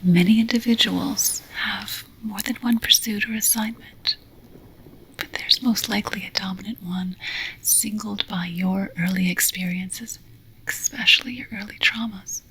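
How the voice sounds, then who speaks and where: A woman hosting ASMR, whispering a scripted ceremonial address of affirmations in a breathy, solemn, deliberate way. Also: medium pitch, steady tone, pushed and bright, low-quality recording. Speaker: ASMR roleplay host.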